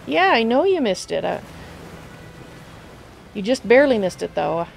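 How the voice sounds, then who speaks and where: A woman streaming a video game live, slightly hoarse from a cold, chatting with viewers and thinking aloud as she plays. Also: a little high, big pitch swings, unhurried, slight background noise, rough recording. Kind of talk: casual live gameplay commentary.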